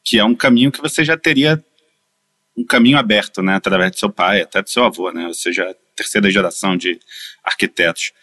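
Upbeat Brazilian podcast host, low voice. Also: male voice, fairly bright tone. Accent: Brazilian Portuguese. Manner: upbeat, chatty, laughs easily. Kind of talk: scripted intro then casual interview. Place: podcast studio, in person.

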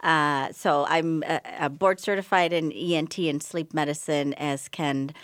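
A woman's voice: low voice, steady tone, measured pace, smooth, dry recording. A woman in her fifties from the Bahamas with a faint Bahamian accent, a physician answering questions on a radio show in a thoughtful, conversational way. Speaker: physician guest. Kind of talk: radio interview.

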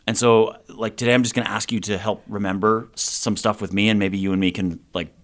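A man with a lowish voice asks questions in a relaxed, informal way. He gently prompts his guest as the interview goes on. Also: brisk. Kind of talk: casual interview.

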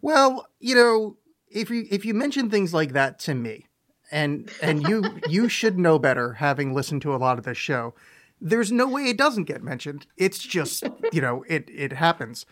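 A man's voice: a little high, very animated, normal speed, fairly bright tone. Joking, rambling man podcast host. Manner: joking, self-deprecating, rambling. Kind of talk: casual podcast chat.

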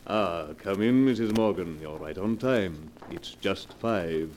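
Silky menacing blackmailer, super low voice, fake polite, old radio thriller with noise. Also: male voice, slow. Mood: smug, taunting. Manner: suave, taunting, mock-courteous. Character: smooth, menacing male blackmailer, mockingly polite. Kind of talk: 1940s radio melodrama acting. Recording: noisy vintage 1940s radio recording.

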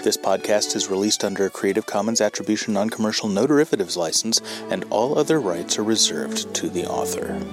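A man's voice: fairly low pitch, brisk, few pauses. A man reading scripted closing credits as the announcer of a podcast. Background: instrumental music.

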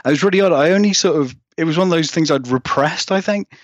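A man's voice: fairly high pitch, fast, dry recording, highs cut off. A British man chatting casually as an interview guest, rambling and acting out remarks as he goes. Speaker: guest horror writer.

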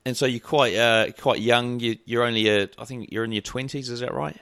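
A man's voice: mid-range voice, fairly even intonation, a little fast, fairly bright tone, smooth, dry recording, studio quality. A man hosting a podcast, chatting loosely with plenty of ums and restarts. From New Zealand. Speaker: podcast host. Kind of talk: casual interview chat.

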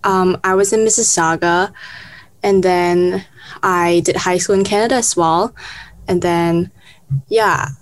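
A young woman from Hong Kong, a student guest, answering in an unhurried, hesitant way. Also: on the low side, steady tone, no room sound. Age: young adult. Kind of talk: casual interview answers.

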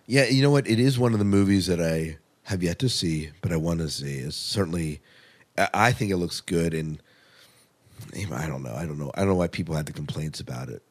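A man's voice: sits low, fairly quick. A man hosting a podcast, chatting casually and enthusiastically as a fan. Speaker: podcast host. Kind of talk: casual fan chat about TV and film.

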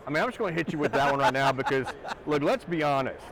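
A man's voice: on the high side, quick pace, fairly bright tone, slight background noise, rough recording. A man hosting a podcast interview, rambling through his questions and swearing casually. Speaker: podcast host. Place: trade show booth, outdoors.

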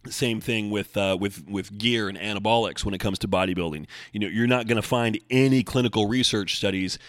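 A man's voice: fairly low pitch, a little fast, no echo. A man hosting a casual solo podcast, rambling and correcting himself with dry humor as he answers listeners. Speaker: podcast host and bodybuilding coach.

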